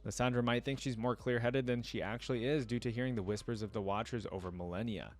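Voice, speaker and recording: A man with a mid-pitched voice gives casual reaction commentary. He moves between reading aloud and thinking out loud in informal, musing asides, like an online video creator talking to viewers. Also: steady tone, fast talker.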